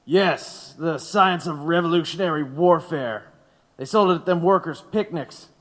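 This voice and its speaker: A male actor gives us a folksy police captain testifying in a period courtroom drama. The voice is notably high for a man, blunt and contemptuous in its rough grammar, and it rings with long reverb. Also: unhurried, a bit bright. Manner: blunt, colloquial, ungrammatical.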